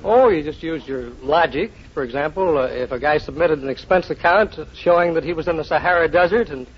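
A man from New York speaks as a contestant in a 1950s radio interview. His voice is fairly high. The old recording is muffled, with faint noise. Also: a little fast. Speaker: quiz show contestant, accountant.

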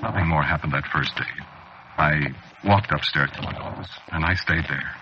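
An actor playing an anxious, haunted man confiding his obsession haltingly in a 1940s radio drama. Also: extremely low pitch. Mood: uneasy confiding. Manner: hesitant, rambling, halting confiding delivery. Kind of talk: 1940s radio drama acting, confessional narration. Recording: dull, noisy old radio recording.

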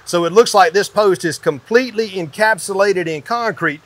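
Fairly high-voiced man guiding an explainer. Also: moderate pace. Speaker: general manager asking questions as presenter. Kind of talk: guided explainer conversation. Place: indoors in a metal-walled barn or shop.

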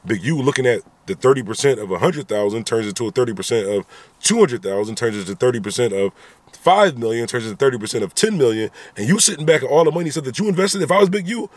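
Fast-talking husky man vlogging in a car. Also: medium pitch, no room sound. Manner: animated, repetitive, rhetorical "right?" asides. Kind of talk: opinion commentary vlog. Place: inside a parked car. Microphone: phone camera at arm's length.

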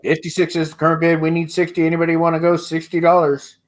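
A middle-aged man hosts a live online auction from his home, calling out bids in a steady, repetitive rhythm. His voice sits slightly high for a man. He laughs often between calls, and the sound comes through an earbud headset on a video call. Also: fast talker. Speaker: auctioneer host. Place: home room with sports memorabilia.

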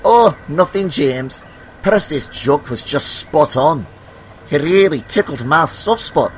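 A man voicing an excitable, mischievous young steam engine in a children's story, with music behind. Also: on the high side, slow, a little hiss or hum, pitched above the performer's own voice. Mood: cheeky. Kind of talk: children's storybook character acting.

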